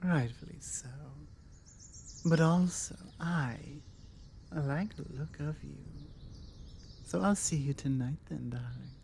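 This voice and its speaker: A woman's voice: low-pitched, takes it slowly, creaky, pauses often, a little mellow, a little hiss or hum, pitched below the performer's own voice, exaggerated voice. A woman voicing a confident, teasing, forward female vampire with a British accent in an ASMR roleplay. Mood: flirty, confident. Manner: flirtatious, self-assured, teasing.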